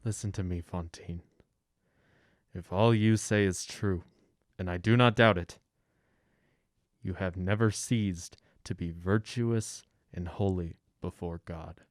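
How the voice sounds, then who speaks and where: A man playing calm, commanding mayor. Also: low-pitched, takes it slowly, pauses often, no echo, clean studio sound. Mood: gentle. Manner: calm, firm, compassionate. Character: kind, authoritative male town mayor. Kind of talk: radio drama acting.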